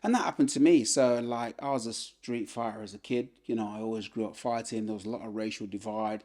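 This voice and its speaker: A man in his fifties with a fairly low voice, speaking as a guest on a casual podcast. He tells his story in an easy, unhurried way and leans on "you know" between thoughts. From England. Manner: relaxed storyteller, many "you know" fillers. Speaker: podcast guest, former boxer. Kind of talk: casual life-story interview.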